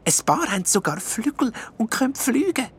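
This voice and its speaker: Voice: mid-range voice, big pitch swings, normal speed, a little hiss or hum, voice pushed up, natural voice. A storyteller voicing a shy purple elf who explains things hesitantly but eagerly.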